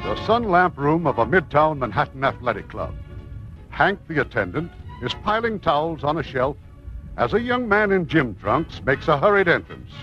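A man's voice: on the high side, sing-song, moderate pace, a bit bright, lo-fi sound, faint music behind. A man hosting and narrating a 1950s radio theatre broadcast in a scripted, presentational style. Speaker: producer and host introducing a radio play.

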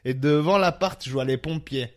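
A man's voice: on the high side, moderate pace. A man with a slight Italian accent, chatting and telling stories casually in a slangy, joking way. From Italy. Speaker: guest, stage actor. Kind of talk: casual chat, storytelling.

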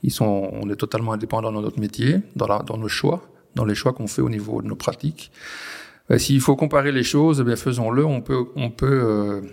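Forties Belgian farmer from the Ardennes, gravelly voice, hesitant, restarts often. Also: male voice, mid-range voice, steady tone, fairly quick, darkish tone. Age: 40s. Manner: hesitant, many restarts and fillers. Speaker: farmer guest. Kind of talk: conversational interview. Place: on a farm.

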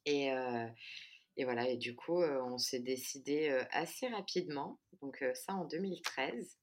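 A woman's voice: a bass voice, normal speed, slight background noise. A woman in her thirties, a podcast guest talking casually and stopping often with fillers and restarts. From France. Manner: hesitant, many fillers and restarts. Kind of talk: casual chat.

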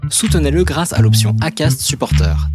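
A man's voice: medium pitch, sing-song, moderate pace. A man reading a scripted promotional announcement for a podcast. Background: prominent guitar music.

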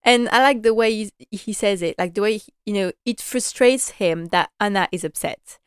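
A woman's voice: mid-range voice, moderate pace, clean tone, dry recording, studio quality. A young woman chatting through a casual episode recap, enthusiastic and full of "like" and "you know" fillers. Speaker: podcast host recapping a TV drama.

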